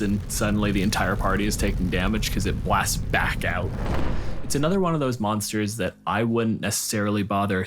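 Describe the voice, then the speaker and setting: A man co-hosting a casual panel talk, explaining and arguing his points in a dry manner. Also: fairly low pitch. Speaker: podcast co-host discussing tabletop game monsters.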